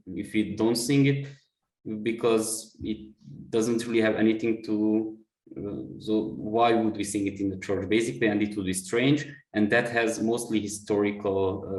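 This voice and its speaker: A man with a Hungarian accent reports back from a discussion group in an online seminar. His voice sits a little low and comes from some way off the mic, in an echoey room. He thinks aloud, restarting and correcting his phrases. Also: distant mic. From Romania. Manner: thoughtful, restarts and self-corrections. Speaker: workshop participant.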